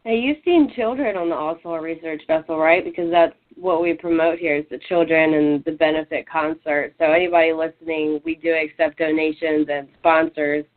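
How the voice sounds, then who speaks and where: A woman's voice: low voice, steady tone, normal speed, few pauses. A woman hosting a talk radio show, upbeat and promotional as she prompts the guest. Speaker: radio host. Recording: tinny phone-line audio.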